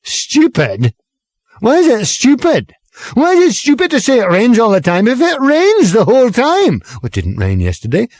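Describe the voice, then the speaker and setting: A man's voice: mid-range voice, lively intonation, a little slow, darkish tone, no echo. A man voicing a gloomy, aggrieved middle-aged lorry driver who rants. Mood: affronted. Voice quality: ranting, table-thumping.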